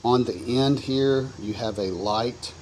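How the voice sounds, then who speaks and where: A man's voice: mid-range voice, takes it slowly, a little mellow, rough recording. Male American product reviewer, casual hands-on demo delivery, some faint background noise. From coastal North Carolina, US. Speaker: product reviewer presenting to camera.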